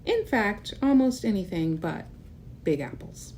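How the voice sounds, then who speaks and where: A woman's voice: mid-range voice, expressive, measured pace, darkish tone. A woman reading a picture book aloud to young children, expressively, with casual asides that explain and point things out. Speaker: children's read-aloud host.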